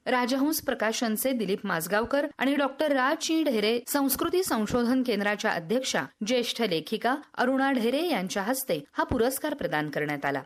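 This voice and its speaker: A woman's voice: a little high, very animated, moderate pace, few pauses. A woman presenting a scripted radio news bulletin.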